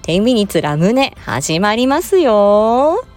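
A woman's voice: mid-range voice, big pitch swings, a little slow, darkish tone. A woman giving a promotional announcement in a polite, formal manner, like a presenter. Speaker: voice performer and channel host. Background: music.

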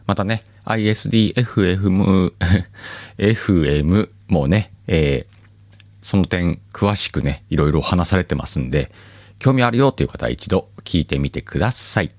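A man hosting a podcast in casual chat, with relaxed, chuckling asides. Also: low-pitched, moderate pace, no echo, clean studio sound. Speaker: podcast host.

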